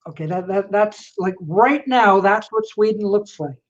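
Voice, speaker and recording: A woman's voice: mid-range voice, moderate pace. Jokey, upbeat woman host on a video call, sound a little dull. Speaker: chat host. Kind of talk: casual video chat.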